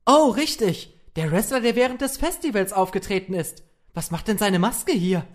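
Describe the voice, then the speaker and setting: A woman's voice: medium pitch, normal speed, background noise, lightly acted. A woman playing a curious, easily startled young lawyer in a fan-made audio drama. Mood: puzzled surprise. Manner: inquisitive, expressive.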